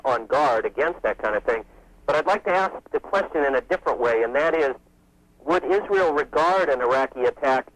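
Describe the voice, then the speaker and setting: A man's voice: medium pitch, no echo, rough recording. An American man calling a radio talk show to put questions to a guest. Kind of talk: asking questions on a call-in show.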